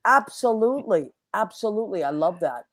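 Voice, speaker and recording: A chatty woman hosting a casual talk show, effusive and warm toward her guest and viewers, whose first language was Italian. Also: medium pitch, animated, fairly quick, no echo, studio quality. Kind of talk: casual chat.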